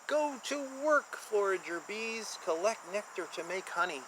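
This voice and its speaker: High-pitched, bright-voiced man reading to children. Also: unhurried, a little hiss or hum. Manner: animated, simple explaining for children, makes buzzing sounds. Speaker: picture book reader and explainer. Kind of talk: children's book read-aloud with informal explanations.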